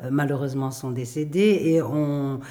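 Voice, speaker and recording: A middle-aged woman, speaking thoughtfully in a radio interview, pausing and restarting often. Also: extremely low pitch, dark tone. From Belgium. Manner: thoughtful, hesitant with many pauses and restarts. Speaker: playwright and performer.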